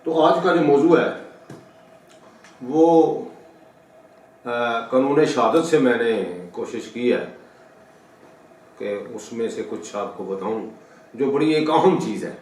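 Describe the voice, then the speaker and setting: A middle-aged man gives a formal, deliberate law lecture with halting, repetitive phrasing. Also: medium pitch, darkish tone. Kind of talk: lecture for an online channel. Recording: very echoey room, distant microphone.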